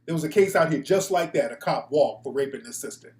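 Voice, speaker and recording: A man's voice: fairly high pitch, lively intonation, fast, a little mellow. An American man hosting a casual, opinionated live talk show, speaking bluntly with slang and profanity. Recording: echoey room.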